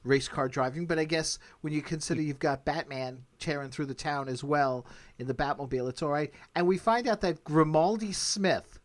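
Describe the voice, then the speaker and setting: A man's voice: a little high, moderate pace, few pauses. A man hosting a podcast, talking casually as he recaps and discusses. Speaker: podcast host.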